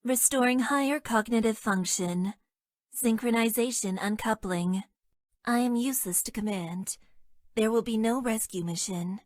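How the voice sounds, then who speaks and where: A woman voicing a detached, self-deprecating young female mecha pilot in a video game, with deadpan, stilted and hesitant delivery. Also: on the high side, normal speed, dry recording, studio quality, natural voice. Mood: flat, dejected. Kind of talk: video game character voice lines.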